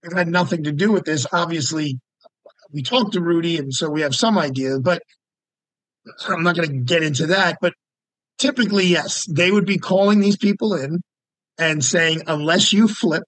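A man's voice: on the high side, moderate pace, a bit bright, no room sound, rough recording. A man, a lawyer guest talking casually and emphatically, often repeating words as he makes his point. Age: 50s. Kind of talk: casual podcast interview.

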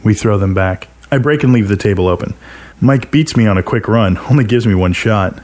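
A man's voice: lowish voice, brisk, darkish tone. A man reading a scripted first-person short story as its narrator.

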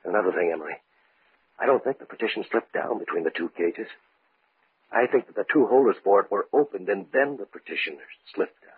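A man acting as a nervous, fearful scientist in an old-time radio horror drama, with halting, brooding delivery. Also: on the low side, lively intonation, husky, no echo. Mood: hushed dread. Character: nervous male scientist with a dread of spiders. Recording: thin, phone-like vintage radio recording.

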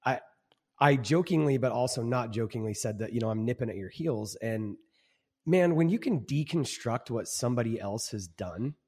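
A man's voice: medium pitch, expressive, normal speed, a little mellow, breathy edge. Man, 40s, podcast guest, earnest, reflective, stumbling restarts, reverberant. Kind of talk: casual interview chat.

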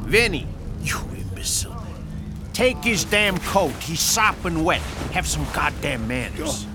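A man's voice: a little high, big pitch swings, a little slow. A man playing a brash Chicago mob boss. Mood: irritated. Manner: brash, swearing, barking orders. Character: gruff 1930s Chicago mob boss, impatient, bossy. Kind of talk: audio drama acting. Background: music score.

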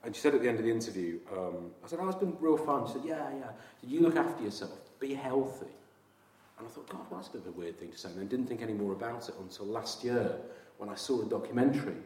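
A man's voice: mid-range voice, lively intonation, quick pace, darkish tone. A man in his forties from Yorkshire, chatting as a guest with dry, self-mocking humour and frequent laughs. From Yorkshire, England. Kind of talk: casual chat. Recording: echoey room, mic at a distance, faint background noise.

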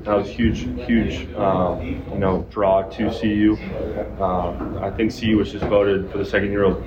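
A young man from Zimbabwe, a college football player, answering press questions in a relaxed way with many fillers. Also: on the low side, measured pace, reverberant, highs cut off, a crowd in the background. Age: young adult.

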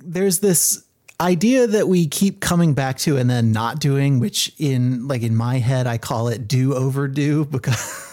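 A man's voice: mid-range voice, a little slow, a little mellow. A man talking casually and conversationally as a guest on a book podcast. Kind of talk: casual chat.

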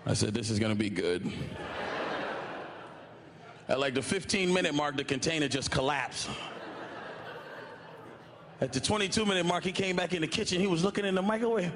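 A man's voice: on the high side, animated, normal speed, pauses often, noisy background. A male comedian performing a stand-up routine, storytelling and laughing through punchlines.

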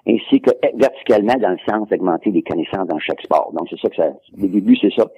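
Older man, English speaker, rapid husky delivery, dry close sound, rambling recall. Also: low-pitched, no echo, muffled recording. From Quebec. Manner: rambling, repeats words while recalling. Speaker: guest, sports historian. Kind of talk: casual interview.

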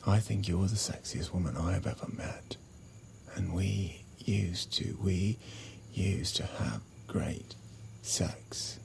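An actor playing an insecure, pleading husband in an intimate audio drama, halting and stammering, sometimes breathy. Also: male voice, deep voice, whispered, heavily acted. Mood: hurt, pleading. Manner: halting, stammering, repeats himself. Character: pleading, insecure, flustered husband in a failing marriage. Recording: music under the dialogue.

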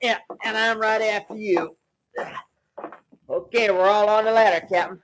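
Brash, wisecracking tough sidekick. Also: male voice, high voice, steady tone, normal speed, fairly bright tone. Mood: businesslike. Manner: blunt, slangy, needling. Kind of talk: 1940s radio drama acting. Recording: noisy 1940s radio recording.

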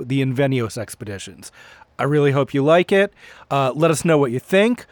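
A man's voice: mid-range voice, no room sound. A man hosting a podcast, speaking in a friendly, informal way to listeners. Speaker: podcast host. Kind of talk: casual podcast outro.

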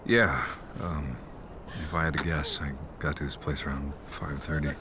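A man playing a subdued, halting, unsure young character who just lost a friend, in a naturalistic audio drama. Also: rumbling low, steady tone, noisy background. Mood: dazed, hesitant.

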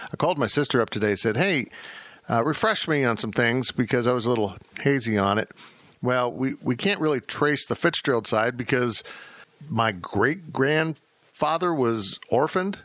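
Host, man, bright-toned and jokey, self-mocking; dry close mic. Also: mid-range voice, dry recording. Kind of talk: casual conversation.

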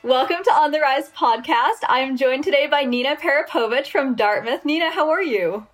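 A woman's voice: high voice, moderate pace, soft background music. A woman hosting a podcast, reading a polished introduction and asking interview questions. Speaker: podcast host.

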